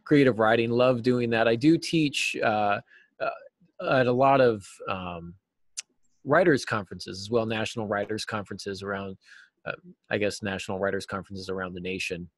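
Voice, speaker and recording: A man's voice: lowish voice, normal speed, dry recording. A middle-aged man speaking as an interview guest, explaining things casually like a teacher. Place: classroom, indoors. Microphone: computer mic on a video call.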